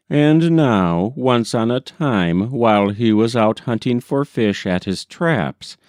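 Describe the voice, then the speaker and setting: A man reading a folk tale aloud as scripted storybook narration, in a measured, deliberate way. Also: mid-range voice, darkish tone, clean tone, no room sound, clean studio sound. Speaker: audiobook reader.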